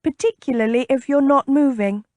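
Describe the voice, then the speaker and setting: A woman's voice: high voice, moderate pace, darkish tone, no echo, pitched above the performer's own voice, natural voice. A woman voicing a chatty, impulsive young noblewoman in an audiobook, with a bubbly, coaxing, inquisitive manner. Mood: bright, enticing. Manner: bubbly, coaxing, earnest, prying.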